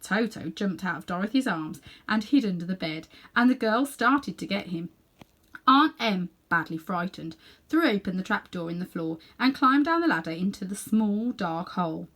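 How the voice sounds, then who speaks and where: A woman reading a children's story aloud in an animated way, with dramatic pauses and hushed little asides. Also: mid-range voice. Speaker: storybook reader.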